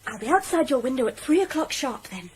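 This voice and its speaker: An actress in a British radio drama playing a determined, outspoken woman probation officer with a brisk, direct manner. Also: high-pitched, very animated, a little hiss or hum. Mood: brisk, resolute.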